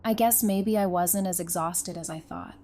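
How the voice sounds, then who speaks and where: A woman playing a caring, gently teasing young survivor in an audio drama. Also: lowish voice, normal speed, natural voice. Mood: affectionate. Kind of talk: fan audio drama acting.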